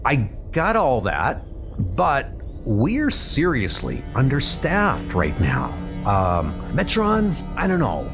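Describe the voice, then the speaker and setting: A man's voice: mid-range voice, wildly swooping pitch, takes it slowly, music in the background, full character voice. A man voicing a young superhero in a comic book dub, flustered, impatient and indignant, with an agitated colloquial delivery.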